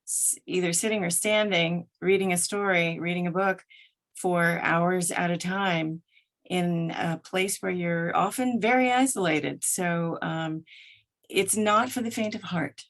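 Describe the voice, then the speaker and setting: A woman's voice: on the low side, steady tone, unhurried, fairly bright tone. A woman who works as a narrator and voice actor, talking conversationally and articulately as a podcast guest. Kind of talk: conversational interview talk.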